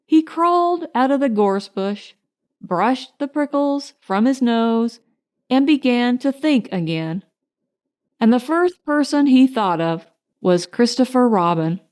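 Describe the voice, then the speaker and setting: A woman narrating a children's storybook in an unhurried, expressive way. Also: on the high side. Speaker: audiobook narrator.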